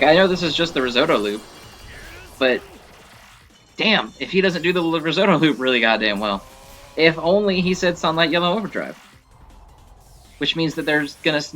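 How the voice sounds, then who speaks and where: A man's voice: on the high side, expressive, normal speed, pauses often, pushed and bright, faint music behind. A man giving casual, opinionated gameplay commentary, thinking aloud in a conversational way. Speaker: gaming video host.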